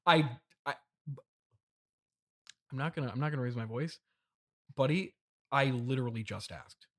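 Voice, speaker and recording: A man's voice: mid-range voice, moderate pace, natural voice. A man playing a defensive, self-important young CEO father hosting a comedy podcast interview, hesitant and stammering with strained patience. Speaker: podcast host.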